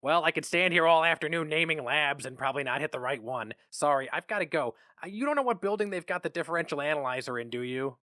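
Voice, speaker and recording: A man voicing a busy, offhand male factory worker with a brisk, questioning manner in a story reading. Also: a little high, expressive, pushed and bright, no echo, clean studio sound. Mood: puzzled brisk.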